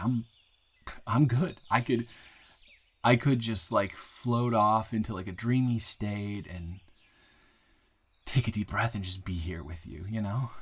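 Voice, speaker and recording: A man playing an earnest, grateful young man shyly confessing love to a friend in an intimate, halting, improvised audio roleplay. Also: fairly low pitch, breathy edge. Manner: halting, rambling, earnest, breaking into soft laughs.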